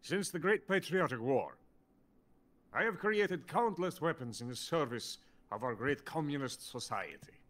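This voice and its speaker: An actor playing a drunken, pompous, bitter older Soviet weapons scientist in a video game. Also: male voice, fairly high pitch, lively intonation, a little slow, fairly bright tone. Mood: boastful, proud. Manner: pompous, boastful. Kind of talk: theatrical video game voice acting.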